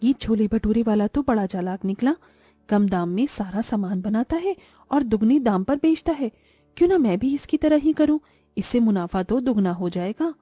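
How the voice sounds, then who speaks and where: A woman's voice: fairly high pitch, lively intonation, normal speed, warm tone, dry recording, soft background music. A woman voicing a greedy, crafty mother who sells street food, with a persuasive manner, in a cartoon story. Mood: crafty, self-justifying. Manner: self-justifying, persuasive.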